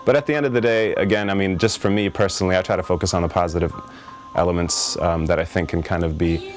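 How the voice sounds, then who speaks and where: A man answering interview questions in a thoughtful, measured way, with frequent fillers and restarts. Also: low voice, fairly even intonation, faint music behind. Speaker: interview guest, rapper.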